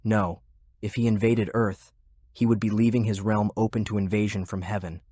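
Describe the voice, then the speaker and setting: A man reading scripted fiction narration in a measured, deliberate way. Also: lowish voice, level tone, dark tone, no room sound. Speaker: audiobook narrator. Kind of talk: scripted narration of a fantasy war novel.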